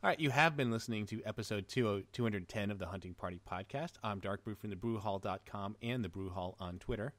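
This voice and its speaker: A man's voice: lowish voice, fast, hardly any pauses, a bit bright, no echo. A man hosting a podcast in a casual chatting style. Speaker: podcast host.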